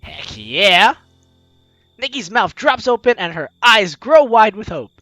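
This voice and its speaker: A playful game streamer with a bright voice and very lively pitch. The delivery is teasing and full of exclamation, moving between reading aloud and commentary. It is recorded very dry, with faint music behind. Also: slow pace, dry recording. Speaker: let's-play video host.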